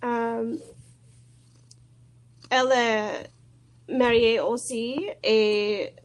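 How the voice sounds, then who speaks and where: A woman's voice: a little high, fairly even intonation, drawn-out words, poor audio. A woman with an American accent, a native English speaker and beginner in French, hesitantly and shyly searching for each word. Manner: hesitant, nervous, shy, searching for words. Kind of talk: halting conversation practice.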